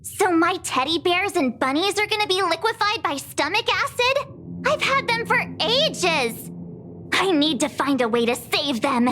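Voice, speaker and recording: Game sidekick: cute young girl, extremely high voice, very animated, unhurried, dramatic. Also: slight background noise, exaggerated voice. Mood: distressed. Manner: expressive, dramatic, informal. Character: cute, excitable, girlish young companion. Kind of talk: video game voice acting.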